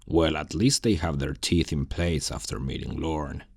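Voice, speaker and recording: Man voicing gruff bearded swordsman thief, extremely low gravelly voice, blunt, grumbling, short temper, fantasy ASMR roleplay. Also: normal speed, no room sound, exaggerated voice. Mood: wry greeting.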